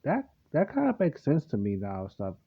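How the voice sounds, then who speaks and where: A man hosting a reaction video, giving casual, halting, repetitive off-the-cuff comments on game cutscenes. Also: mid-range voice, huge pitch swings, vocal fry, slightly rough. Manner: halting, repetitive, rambling asides. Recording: dull lo-fi microphone. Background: faint game music.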